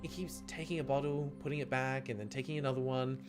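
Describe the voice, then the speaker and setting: A man's voice: mid-range voice, steady tone, fast. A man streaming a game, reading visual novel narration aloud and adding casual commentary. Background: music.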